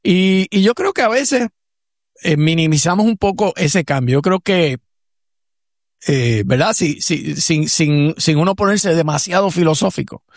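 Puerto Rican man, slightly high voice. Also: measured pace, no echo, clean studio sound. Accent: Puerto Rican Spanish. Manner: reflective, digressive, many restarts and fillers. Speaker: radio co-host, commentator; professor. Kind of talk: casual talk-show commentary.

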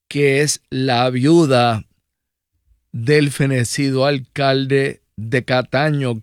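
A man's voice: mid-range voice, clean tone, dry recording, studio quality. A man with a Puerto Rican accent hosting a radio talk show, conversational with rambling personal asides. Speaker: radio host. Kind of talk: radio news and interview talk.

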